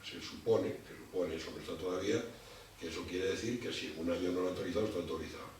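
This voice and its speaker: Man, very low voice, halting lecturer who repeats himself, recorded from far off in a big echoey hall with noise. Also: a little mellow. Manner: halting, repeats words while thinking, explanatory. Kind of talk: public lecture. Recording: distant mic in an echoey hall with background noise.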